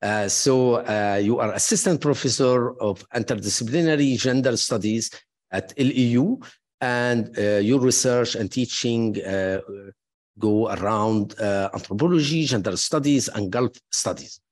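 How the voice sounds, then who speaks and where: A man moderating an academic panel, introducing speakers in a halting way with many fillers and restarts, adding warm praise and personal asides. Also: mid-range voice.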